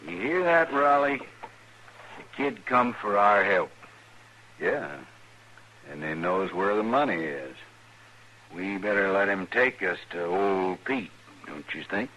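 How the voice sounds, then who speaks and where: An actor playing a cold, taunting outlaw gang leader with a folksy drawl in an old-time radio Western. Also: male voice, fairly low pitch, animated, slow, pauses often, bright, forceful tone, heavily acted. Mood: sly. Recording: noisy old radio drama recording.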